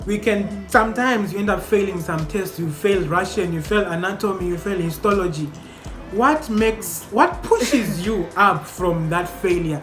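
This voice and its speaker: A young man hosting a casual group discussion among medical students, asking questions in an animated way. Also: sits high, measured pace. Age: young adult. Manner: animated, questioning, laughs often. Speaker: host. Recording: echoey room with music behind.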